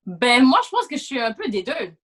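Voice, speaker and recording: A woman's voice: fairly high pitch, big pitch swings, a little fast. A woman with a Quebec French accent speaking as a panelist in a casual discussion, emphatic, repeating phrases and slipping into English. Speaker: podcast panelist.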